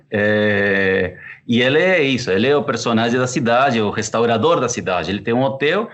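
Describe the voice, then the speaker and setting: A man in his thirties from Argentina, a chef, answering interview questions with an Argentine Spanish accent, unhurried and thoughtful with frequent fillers. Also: mid-range voice, animated, fairly bright tone, lo-fi sound. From Córdoba, Argentina. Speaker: chef and pizzeria partner.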